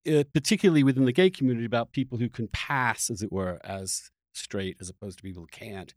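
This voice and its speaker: A man's voice: mid-range voice, fast talker, dry recording. A male podcast host with a mid-range voice, recorded dry and close. He thinks aloud in a reflective interview style, circling back and restarting sentences as he searches for the right phrasing. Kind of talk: reflective long-form interview conversation.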